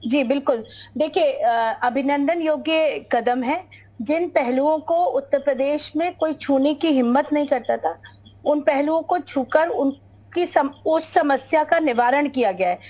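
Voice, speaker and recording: A woman religious leader speaking formally and deliberately as a guest in a TV debate, joining by remote call. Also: high voice, darkish tone. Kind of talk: debate commentary.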